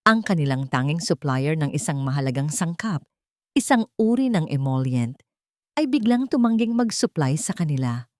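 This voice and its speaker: A woman's voice: deep voice, big pitch swings, normal speed, dry recording, studio quality. A woman reading a scripted dramatic story as its narrator.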